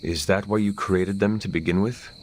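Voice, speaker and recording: A man playing a wary ancient warrior in a video game, speaking formally and in a measured, stilted way. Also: lowish voice, fairly even intonation, a little hiss or hum, close to an everyday voice. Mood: wary, probing. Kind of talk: cinematic video game dialogue.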